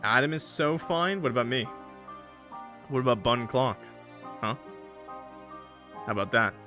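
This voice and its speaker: A man streaming a visual novel, switching between dramatic narration and animated, jokey, sweary commentary. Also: medium pitch, measured pace, faint music behind.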